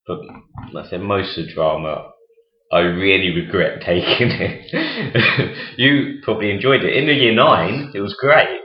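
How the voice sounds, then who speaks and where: A young man with a very deep, low voice hosts a casual podcast chat. He talks hesitantly and fills his pauses with ums. The sound is echoey and dull, like a lo-fi home recording. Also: very animated, slight background noise. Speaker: podcast host.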